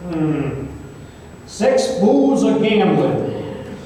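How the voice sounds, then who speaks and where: Man acting a rambling, high-pitched private eye, echoey. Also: big pitch swings, very slow, mellow, heavily acted. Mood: jovial, teasing. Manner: hesitant, rambling, filler-heavy storytelling. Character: jaded, wry retired small-town private investigator telling his tale. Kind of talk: old time radio detective drama acting. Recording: echoey hall, distant mic, faint noise.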